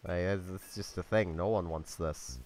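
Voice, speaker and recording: A young man hosting a let's play, talking in a monotone, low-key, rambling way with a slightly scratchy voice. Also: moderate pace. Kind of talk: casual gameplay commentary.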